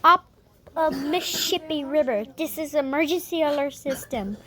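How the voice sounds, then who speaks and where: A woman reading a scripted mock emergency alert in a halting, repetitive way with broken phrasing. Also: high voice, noisy background. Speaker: narrator of an amateur mock emergency broadcast.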